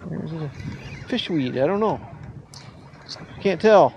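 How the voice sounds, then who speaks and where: Excitable man narrating a fishing trip outdoors. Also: fairly high pitch, measured pace, muffled recording. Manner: excitable, chatty, narrates to camera. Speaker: fishing vlog host. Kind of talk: casual fishing chat. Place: outdoors on a fishing boat on a windy lake. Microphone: action camera on the boat. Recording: wind and water noise. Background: wind and water noise.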